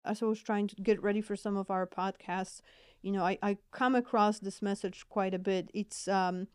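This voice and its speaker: A woman's voice: medium pitch, steady tone, normal speed, clear, resonant tone, dry recording, clean studio sound. A woman with a Polish accent, co-hosting a podcast in a casual, earnest and hesitant way. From Poland. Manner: earnest, hesitant, repeats words. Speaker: podcast co-host, mental health nurse practitioner.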